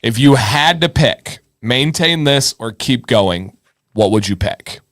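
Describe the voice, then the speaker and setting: A man's voice: mid-range voice, expressive, slow, a bit bright, dry recording, clean studio sound. A man hosting a live advice show in casual chat, informal and encouraging, thinking aloud and repeating himself.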